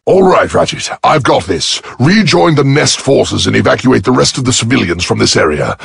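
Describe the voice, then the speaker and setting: An actor playing a cocky, gruff, battle-loving giant robot soldier in a video game, raspy and gravelly, with a swaggering, wisecracking delivery. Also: male voice, medium pitch, big pitch swings, moderate pace, no echo, heavily acted. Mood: confident.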